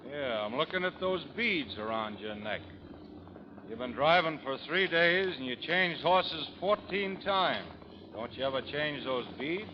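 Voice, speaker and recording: A man's voice: a little high, lively intonation, unhurried, noisy background. Laconic American frontier gunman, muffled radio. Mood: wry, alert. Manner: understated, drawling. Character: laconic, steady frontier gunman and scout, wry. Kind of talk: 1930s-40s radio drama acting. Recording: dull vintage radio recording.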